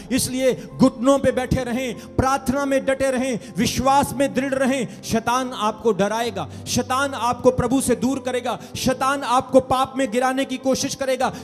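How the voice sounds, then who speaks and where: A man preaching a sermon as pastor, with a fervent, repetitive cadence, calling on the congregation to clap and shout. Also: very high-pitched, in a large hall.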